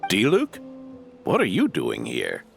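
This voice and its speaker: An actor playing an older knight captain close to retirement in a video game, jovial and coaxing, eager to keep working. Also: male voice, low-pitched, huge pitch swings, takes it slowly, gravelly, heavily acted. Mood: surprised. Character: veteran male knight captain, hearty and restless. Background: game music.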